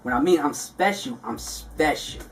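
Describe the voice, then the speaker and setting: A man's voice: a little high, animated, moderate pace, far from the mic. A man from Brooklyn, hosting a casual interview in a playful, teasing way.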